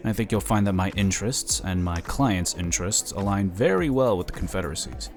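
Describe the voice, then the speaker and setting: Guy acting as a smug slick lobbyist type, deep voice, smooth talker, sci-fi audio drama, faint music behind. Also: lively intonation, brisk. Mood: confiding, scheming. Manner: chatty, smooth-talking, condescending. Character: smug, slick, scheming male political lobbyist.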